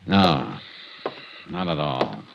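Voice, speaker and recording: A man's voice: extremely low pitch, lively intonation, extremely slow, creaky, dull audio, background noise, exaggerated voice. A male actor playing a cool, sardonic insurance investigator in a 1950s radio drama, clipped and hard-boiled. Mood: grim, watchful. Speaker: lead actor and narrator of radio detective serial. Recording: vintage 1950s radio recording.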